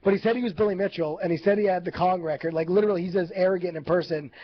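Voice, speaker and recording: A man with a high voice tells a loose story in casual chat. He rambles and fills his talk with "like" and "you know". The audio is muffled and lo-fi. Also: very fast. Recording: lo-fi live stream audio.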